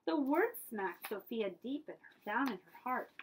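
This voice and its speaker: A woman's voice: on the high side, animated, moderate pace, slight background noise. A woman reading a children's picture book aloud as a librarian, with dramatic storytelling emphasis and friendly asides.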